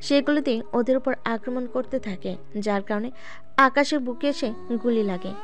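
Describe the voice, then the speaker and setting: A woman's voice: a little high, a little fast. A woman narrating a scripted audio story. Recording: background music under the voice.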